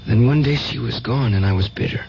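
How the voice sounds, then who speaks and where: A man's voice: mid-range voice, a little fast, darkish tone, lightly acted. An actor playing a fervent, tormented young man with psychic powers in an old-time radio drama, earnest and intense. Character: fervent, tormented young male psychic nephew, devoutly religious. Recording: dull, hissy old radio recording.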